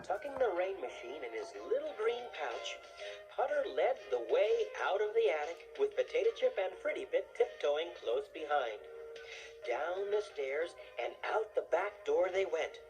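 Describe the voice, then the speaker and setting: A woman reading a scripted children's story as narrator, in an expressive storytelling manner. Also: fairly low pitch, a little slow, faint music behind, rough recording.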